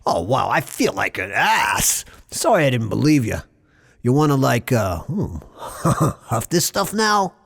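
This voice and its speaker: Gravelly, cheeky goblin. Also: male voice, mid-range voice, big pitch swings, a little slow, fairly bright tone, dry recording, studio quality, heavily acted. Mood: amused, casual. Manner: slangy, casual. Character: cheeky, laid-back male goblin gang leader. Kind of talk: audio drama acting.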